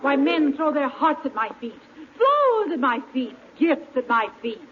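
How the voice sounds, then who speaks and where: An actress playing a vain, easily offended wife with a grand, indignant manner in 1940s radio comedy. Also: female voice, sits high, sing-song. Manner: indignant, grand, drawn-out delivery. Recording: muffled 1940s radio broadcast, audience noise.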